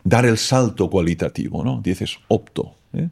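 A man whose first language is Catalan speaks as a philosopher guest, reflective and explanatory. His voice sits low, with a mellow, dark tone and a gravelly fry. He keeps checking in with short questioning tags. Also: unhurried. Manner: thoughtful, explanatory, keeps tagging "¿no?". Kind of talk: reflective interview talk.

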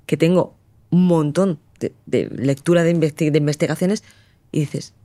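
A woman's voice: low-pitched, moderate pace, dark tone, no echo. A woman guest in a conversational interview, speaking emphatically and passionately, eager to debate.

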